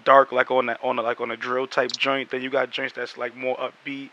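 A man's voice: medium pitch, flat delivery, fairly quick, pushed and bright, no echo, lo-fi sound. A man hosting a casual hip hop interview from a small room at home with a headset, laid-back and conversational, with fillers between his questions. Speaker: interview host.

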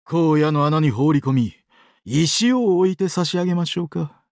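An actor playing a sadistic, weeping angel of doomsday in a mobile game, exaggeratedly polite and dramatic, swinging between sorrow and glee. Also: male voice, on the high side, moderate pace, darkish tone, no room sound, clean studio sound, close to an everyday voice. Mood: mournful, menacing. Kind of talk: Japanese mobile game character acting.

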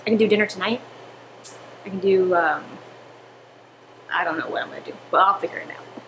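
A woman's voice: medium pitch, fairly quick, fairly bright tone, poor audio. Young woman vlogger, chatty and self-deprecating, camera on the counter in a home kitchen, light background hum. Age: young adult. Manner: chatty, self-correcting, laughs at herself. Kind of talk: casual chat to camera.

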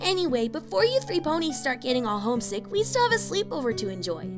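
A woman acts a brash, sporty teenage girl in a cartoon-style story. She is eager and cocky, with a high, animated voice over faint music. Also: fast talker. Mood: eager, confident.

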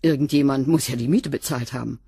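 A woman's voice: a bass voice, fast talker, mellow. An actress playing a guarded woman witness in a radio crime drama, giving clipped, offhand answers.

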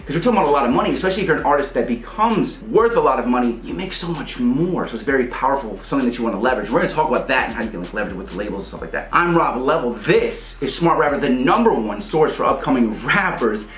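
A man's voice: mid-range voice, big pitch swings, very fast, few pauses, fairly bright tone. A man in his thirties hosting a casual, emphatic explainer, using slang and repeating points for clarity. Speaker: host of a music business channel for rappers. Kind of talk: casual explainer talk to camera. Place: small home studio room, indoors. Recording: roomy indoor echo.

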